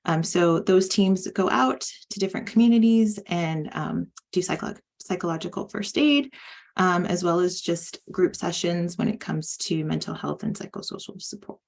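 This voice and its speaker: Creaky-voiced woman explaining programs. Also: on the low side, a little mellow. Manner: explanatory, many fillers. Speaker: relief program staff. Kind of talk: panel talk.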